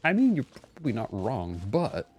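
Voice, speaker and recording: A man's voice: mid-range voice, huge pitch swings, moderate pace, darkish tone, a little hiss or hum. A man chatting casually and playfully with viewers as he streams a game, often exclaiming, with game music behind him. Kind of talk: casual live gameplay chat with viewers.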